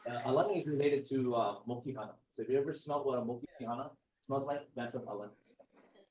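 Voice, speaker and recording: A man gives a relaxed, chatty talk full of jokes and asides. His voice sits at an ordinary middle pitch. The recording is echoey, with the speaker standing some distance from the mic. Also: fast talker, very noisy. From Hawaii. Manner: chatty, joking, digressive. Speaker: guest speaker. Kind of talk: casual lecture talk.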